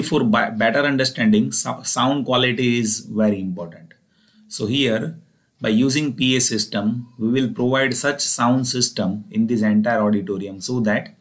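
Man, Indian accent, mid-range pitch, engineering lecturer, step-by-step explanatory delivery, repetitive phrasing. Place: voice-over recorded over slides.

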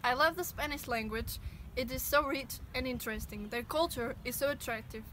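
A woman makes a brief, enthusiastic statement in simple words. Her voice is a little high. Steady background noise sits behind her. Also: brisk. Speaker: contributor to a language video. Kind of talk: short prepared statement.

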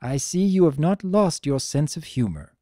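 A narrator voicing a wise, weary female pony princess, the elder sister, speaking in a measured, solemn and gentle way for a story reading. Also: medium pitch, lively intonation, no room sound, clean studio sound, voice pushed up, close to an everyday voice. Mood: gentle, amused.

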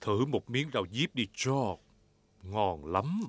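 A man's voice: fairly low pitch, sing-song, slow, background noise. A man voicing a kindly, jolly grown pig in a cartoon dub. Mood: easygoing. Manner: reassuring, jolly. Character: easygoing grown male pig, kindly father figure.

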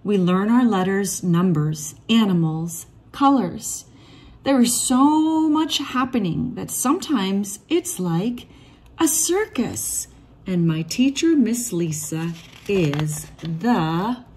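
A woman reading a rhyming children's picture book aloud in an expressive, measured storytelling manner. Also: medium pitch, breathy edge. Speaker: read-aloud storybook reader.

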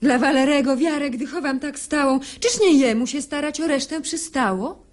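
A woman acts a timid young daughter in love, in a classical verse radio play. Her voice is high and plaintive. She delivers her lines with earnest, theatrical feeling. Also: normal speed, close to an everyday voice. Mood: plaintive, reproachful.

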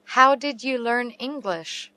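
Slow, carefully spoken woman. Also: a little high, pushed and bright. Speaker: English teacher reading dialogue. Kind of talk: slow read-aloud English practice phrases.

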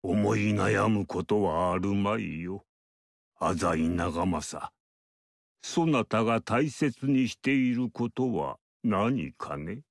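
An ageless mystic sage in a video game, calm and aloof, speaking in measured, archaic and lofty phrases. His voice sits low and unhurried, and the recording is dry and close. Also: gravelly, dry recording, full character voice. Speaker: game character. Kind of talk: game dialogue acting.

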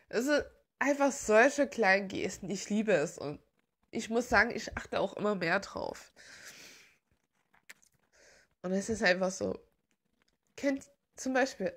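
A woman's voice: mid-range voice, gravelly, breathy edge. A woman chatting casually and giggling as she gushes like a fan, rambling along as the host of a video. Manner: gushing, giggly.

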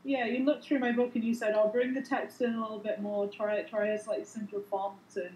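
A woman chatting on a panel, friendly and quick to laugh, over an earphone mic at home. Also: fairly high pitch, little pitch movement, a little hiss or hum. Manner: warm, chatty, laughs often. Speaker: illustrator panelist. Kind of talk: casual panel chat. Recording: echoey room.

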